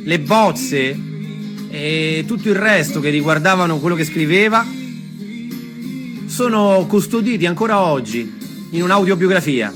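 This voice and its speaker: A man telling stories and reading texts aloud as a theatre performer, expressive and deliberate in his delivery. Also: high voice. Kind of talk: storytelling with dramatic readings. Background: music.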